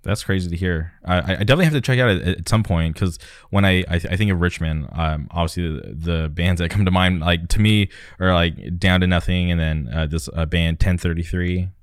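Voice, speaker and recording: Low-voiced, smooth male podcast host, hesitant and full of ums. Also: a little fast, hardly any pauses, no room sound, clean studio sound. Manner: soft-spoken, hesitant, many ums. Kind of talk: casual podcast interview.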